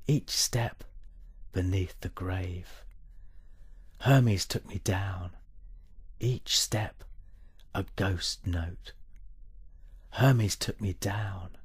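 A man reads poetry in a deep, very husky and breathy voice. He goes extremely slowly, setting short phrases apart with solemn pauses and often sinking to a near-whisper. The sound is close and dry. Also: no room sound. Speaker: poet reading own work. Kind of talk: poetry reading, dramatic monologue.

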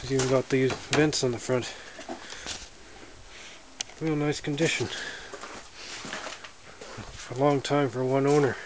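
A man with a voice of middling pitch talks in a relaxed, plainspoken way as he films his own walkaround in a garage. The handheld camera picks him up close, with clear noise running under his voice. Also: measured pace, long pauses. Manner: relaxed, plainspoken, matter-of-fact. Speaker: hobbyist showing his snowmobile. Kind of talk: casual walkaround narration. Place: indoors, a garage or shed with windows. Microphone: handheld camera held by the speaker. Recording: noisy handheld camera audio.

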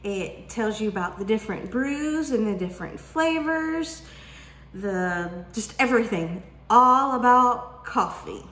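A woman in her forties with a drawl talks slowly and playfully, stretching her questions and pausing to let them sink in. Her voice sits a little high. The sound comes from a camera across a table in a roomy, echoing kitchen. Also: expressive, fairly bright tone. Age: 40s. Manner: chatty, teasing, drawn-out questions with pauses. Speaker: book vlogger. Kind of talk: casual chat to camera. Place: home kitchen, indoors. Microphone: camera at desk distance.

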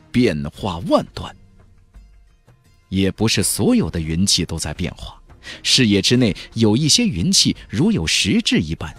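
A male audiobook narrator with a lowish, husky voice. He tells a fantasy tale theatrically, with huge swings of intonation and emphatic swells. Soft music plays behind his close delivery. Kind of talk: dramatic scripted narration of a fantasy novel. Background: faint background music.

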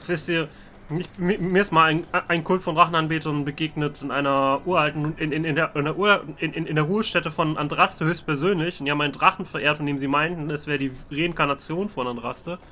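A man giving in-character roleplay commentary on a game, halting and stammering, with a wry manner. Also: a little high, fairly even intonation, bright, forceful tone, slight background noise. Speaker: let's player roleplaying as his game character.

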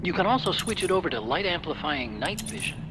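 An actor playing a nerdy engineer giving a technical mission briefing over a radio link in a video game. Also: male voice, high voice, fairly quick. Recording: game audio with steady noise.

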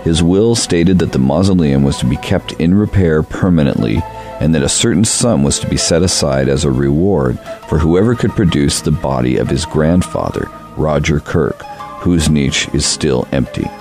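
Very deep, formal official voiced by a man. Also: lively intonation, moderate pace, darkish tone, faint music behind. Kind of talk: formal read-aloud of documents in a radio play.

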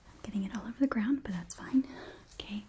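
A young woman performing a whispered ASMR roleplay, speaking softly and coaxingly right up close to the microphone. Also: mid-range voice, fast talker, slight background noise. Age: young adult. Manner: soft, coaxing, close-up whisper with murmured repetitions. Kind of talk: whispered ASMR roleplay, in character as a sorcery student.

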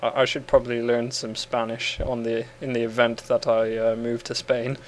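A man's voice: mid-range voice, completely flat delivery, moderate pace, a little hiss or hum. Brief: English man, casual gaming banter, giggly and rambling, faint typing behind. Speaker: co-host playing a game.

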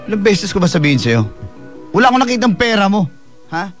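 A man's voice: sits high, very animated, fairly quick. A man acting in a Filipino radio drama as a hot-tempered, abusive gambling husband who badgers and taunts aggressively. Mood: defensive, belligerent. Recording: loud music behind the voice.

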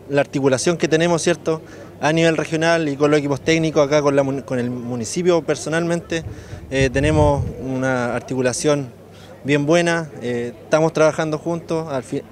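A Chilean man, an agency official, answering in a measured outdoor interview. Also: a little high, darkish tone. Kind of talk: on-camera interview. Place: outdoor fair. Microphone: handheld news mics.

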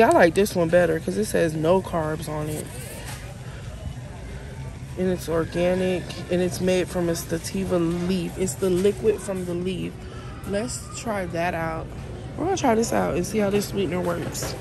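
A woman filming a casual shopping vlog in a supermarket, chatting and thinking aloud as she reads labels. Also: medium pitch. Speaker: vlogger. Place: supermarket aisles. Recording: noisy store background.

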